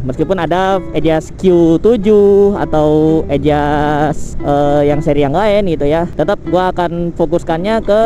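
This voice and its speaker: A high-voiced man with an Indonesian accent talks casually as a vlog host. His delivery is slangy and conversational, peppered with little tag words. Music plays clearly behind his voice. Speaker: motovlogger and channel host. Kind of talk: casual product comparison vlog.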